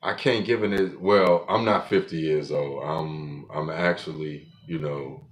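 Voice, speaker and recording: A man's voice: rumbling low, fairly even intonation, unhurried. A man in his forties talking alone on his podcast in a blunt, profane, rambling way, often restarting his sentences. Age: 40s. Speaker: podcast host. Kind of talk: casual monologue.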